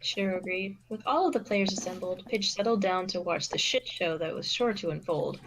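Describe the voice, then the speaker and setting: A woman's voice: mid-range voice, a little fast, noisy background. A woman giving scripted narration as the narrator of an amateur fan fiction reading.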